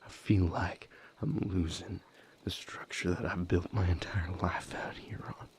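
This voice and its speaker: Man acting a low, gravelly, wounded young man. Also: fairly even intonation, in a whisper. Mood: shaken, resolute. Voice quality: breathy, gravelly low murmur. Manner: halting, confessional, broken phrases with long pauses. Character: bitter, wounded young man breaking from a manipulative vampire patriarch. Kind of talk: intimate audio roleplay monologue.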